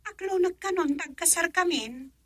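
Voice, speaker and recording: An actress voicing a chatty young woman gossiping with her cousin in a radio drama, lively and dropping to a whisper for secrets. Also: very high-pitched, normal speed, dry recording, clean studio sound. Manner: lively, drops to a whisper when sharing secrets.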